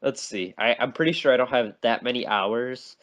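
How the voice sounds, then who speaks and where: A man's voice: medium pitch, normal speed, fairly bright tone. A young man co-hosting a casual podcast chat, insistent and argumentative, who keeps telling his friend to listen. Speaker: podcast co-host. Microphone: remote call mic.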